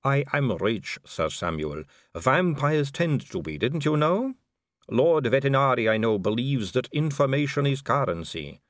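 A man voicing an aristocratic lady vampire in an audiobook, smooth, measured, knowing and condescending. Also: mid-range voice, big pitch swings, dry recording, studio quality, pitched above the performer's own voice. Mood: probing, superior. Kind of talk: audiobook character dialogue.

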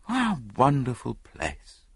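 A man voicing an earnest, excitable gentleman, a loyal detective's companion, in an audiobook. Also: mid-range voice, slow, gravelly, husky, no room sound, voice pushed up, heavily acted. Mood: awed.

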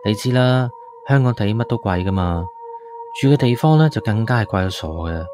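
A man's voice: on the low side, expressive, fairly quick, natural voice. A man with a Hong Kong accent playing an uneasy city tenant, narrating a ghost story in a conversational, confiding way for a radio drama. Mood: matter-of-fact. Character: uneasy male city tenant moved to an old village house. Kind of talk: first-person ghost story narration, colloquial. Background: background music.

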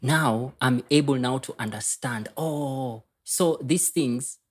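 A man with a Kenyan accent speaks as a guest in a relaxed interview. His voice sits at a middle pitch. He tells personal stories casually, slipping between English and Swahili and repeating words to press a point. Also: slow, husky.

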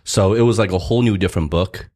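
A man's voice: sits low, fast, darkish tone. A man co-hosting a podcast, talking at length in a loose, conversational way. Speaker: podcast co-host. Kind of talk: casual podcast chat.

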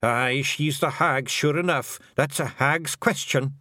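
A man voicing a chorus of tiny, superstitious clansmen with Scottish accents, high and drawn out in awed mutters. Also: slow pace, bright, forceful tone, dry recording, studio quality.

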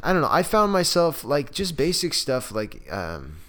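A man's voice: fairly high pitch, normal speed. A young man co-hosting a podcast, talking casually and rambling with lots of fillers. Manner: animated, rambling with many fillers. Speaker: podcast co-host. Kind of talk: casual chat.

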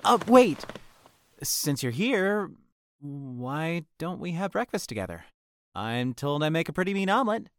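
A man's voice: fairly high pitch, sing-song, unhurried, no room sound, studio quality. An actor playing an earnest, insecure young man in an audio drama, speaking hesitantly and wearing his heart on his sleeve. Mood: smitten, flustered. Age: young adult.

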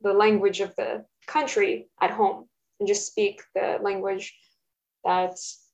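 A young woman whose first language is Russian answers questions at home, pausing often and filling gaps as she thinks. Her voice sits at an ordinary middle pitch. The recording is dull and lo-fi. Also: level tone, a little mellow. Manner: hesitant, thoughtful, many fillers. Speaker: interviewee. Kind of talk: casual interview. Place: home, roommate nearby.

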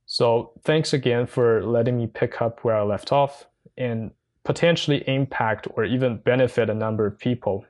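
A young man from China with a Mandarin Chinese accent, a non-native speaker hosting his own podcast, talking earnestly and carefully with frequent pauses and fillers. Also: medium pitch, darkish tone. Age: young adult. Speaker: podcast host. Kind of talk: solo podcast monologue.

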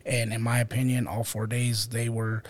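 Man, coach, podcast co-host, even monotone pitch, casual, friendly. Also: normal speed. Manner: upbeat, calls co-host "brother" and "bro". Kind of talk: casual chat.

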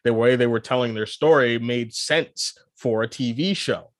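A man's voice: mid-range voice, moderate pace, dry recording, studio quality. A man co-hosting a podcast, arguing his opinions emphatically in a casual discussion. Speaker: podcast co-host.

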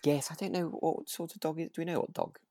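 A British man co-hosting a podcast in relaxed, casual chat, with a mild, agreeable, hesitant way of talking. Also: on the high side, quick pace, creaky, no room sound, studio quality. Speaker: podcast co-host. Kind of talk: relaxed casual chat about a radio drama.

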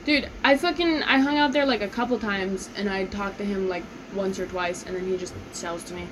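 A woman's voice: mid-range voice, fairly quick, background noise. A young woman co-hosting a casual podcast with friends, telling stories with constant swearing and laughter. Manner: heavy swearing, laughing storyteller. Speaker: podcast co-host. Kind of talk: casual chat among friends.

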